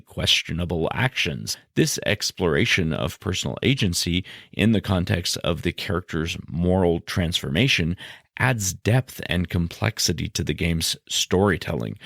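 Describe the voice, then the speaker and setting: A man hosting a podcast, explaining ideas in a measured, didactic monologue that sounds partly scripted. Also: sits low, no echo, clean studio sound. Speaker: podcast host.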